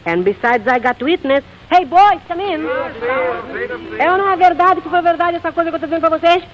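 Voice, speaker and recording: An actress playing a brash, bossy maid in 1940s comedy style, wisecracking in exclamatory broken English. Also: female voice, extremely high pitch, fast, a crowd in the background. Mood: bossy, excited. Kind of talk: 1940s musical comedy acting. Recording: dull old recording, faint noise.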